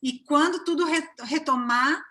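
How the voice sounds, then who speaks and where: Uma mulher brasileira com sotaque de Cachoeira, na Bahia, conversando de forma calorosa e falante numa entrevista por chamada de vídeo. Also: female voice, high-pitched, unhurried. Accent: Brazilian Portuguese, from Cachoeira (Bahia). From Brazil. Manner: warm, chatty, many fillers and restarts. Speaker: interview guest. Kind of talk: casual interview chat.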